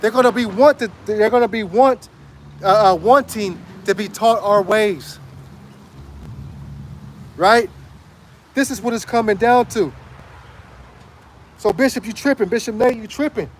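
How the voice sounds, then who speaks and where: A man preaching outdoors in an emphatic street sermon style, repeating lines and checking in with "right?", his voice going hoarse. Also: high-pitched, a little slow, pauses often, slight background noise.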